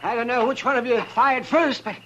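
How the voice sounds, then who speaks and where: An actor playing a brisk, folksy frontier doctor in a 1950s radio drama. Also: male voice, very high-pitched, sharp tone. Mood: matter-of-fact. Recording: muffled, noisy 1950s radio recording.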